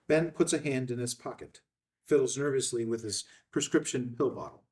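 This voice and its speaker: A man's voice: medium pitch, normal speed, darkish tone, distant mic. A man narrating scene headings and stage directions from a screenplay in a scripted read.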